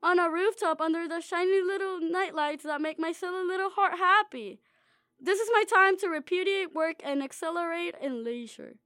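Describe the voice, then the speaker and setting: A young woman with a very high, light voice reads her own personal essay aloud. Her delivery is wry and dreamy, and she pokes fun at herself. The recording is dry and close, with no room sound. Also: normal speed, fairly bright tone, dry recording. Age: young adult. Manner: wry, dreamy, playfully self-mocking. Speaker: student author reading her own essay.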